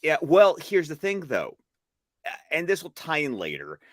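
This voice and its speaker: A man's voice: fairly high pitch, huge pitch swings, moderate pace, no room sound, clean studio sound. Enthusiastic man sharing fan trivia. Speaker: podcast co-host. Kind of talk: casual chat with trivia asides.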